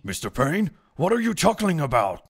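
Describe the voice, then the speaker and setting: A man's voice: on the high side, sing-song, moderate pace. A man voicing a stern but easily baffled older judge with formal delivery in a courtroom fan dub. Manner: formal, pronouncing. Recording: music behind the voice.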